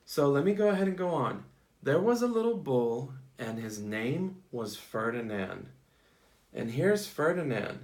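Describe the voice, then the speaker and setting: Man reading a storybook aloud, very animated pitch. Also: a little slow. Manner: asks questions, praises and repeats answers in short phrases. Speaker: teacher reading a picture book to young children. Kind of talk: interactive storybook read-aloud.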